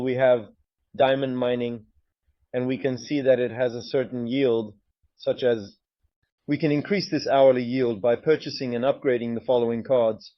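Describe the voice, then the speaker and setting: A man's voice: mid-range voice, dry recording, dull audio. A man presenting a game's screens in a walkthrough, sometimes hesitant as he searches. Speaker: game presenter.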